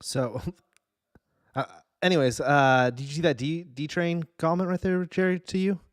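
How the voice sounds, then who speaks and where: A man's voice: on the high side, fairly even intonation, measured pace, dry recording. Casual male podcast host, dry close sound. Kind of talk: casual film and TV chat.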